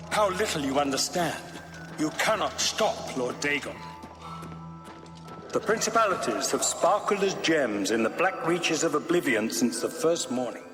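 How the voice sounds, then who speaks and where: An actor playing a zealous, grandiose cult-leader villain in a fantasy game, declaiming in a lofty, oratorical manner. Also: male voice, mid-range voice, natural voice. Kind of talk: theatrical fantasy game monologue. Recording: echoey long reverb, music behind.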